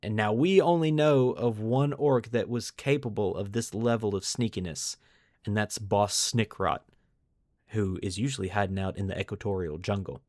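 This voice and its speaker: A man's voice: lowish voice, moderate pace, a little mellow, no echo, studio quality. A man hosting a podcast, moving between storytelling narration and casual discussion. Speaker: podcast host.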